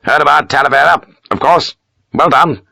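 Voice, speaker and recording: A man voicing a gruff, pious older army major who talks in curt, self-important bursts. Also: on the high side, normal speed, sharp tone, no echo, clean studio sound, voice pushed up, exaggerated voice. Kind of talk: audiobook character voice.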